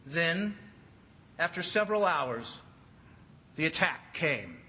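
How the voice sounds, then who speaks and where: Man acting grave, high-pitched radio drama commissioner. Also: expressive, takes it slowly, background noise. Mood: grave, tense. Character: anxious male district commissioner narrating a jungle disaster. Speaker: narrator and actor in radio drama. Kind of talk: dramatic 1940s-style radio narration.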